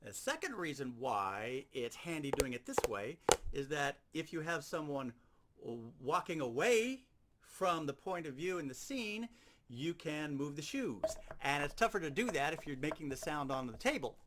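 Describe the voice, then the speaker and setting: A man with a fairly high voice that swings widely in pitch, giving a hands-on lesson. He explains each step methodically and circles back to key points. Clear background noise runs through the recording. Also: big pitch swings, normal speed. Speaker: sound effects instructor. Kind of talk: instructional demonstration.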